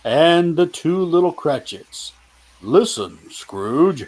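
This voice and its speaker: A man playing a solemn, stately spirit who speaks gravely and deliberately in a radio play reading. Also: a little high, sing-song, a little mellow, a little hiss or hum. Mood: narrating, stately. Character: solemn, stately Christmas ghost guiding an old miser.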